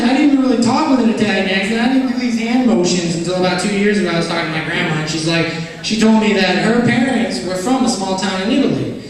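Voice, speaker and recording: A young male stand-up from Chicago rambles through his set with hesitant, self-mocking asides. His voice runs high and bounces around a reverberant comedy club. The mic catches him from a distance.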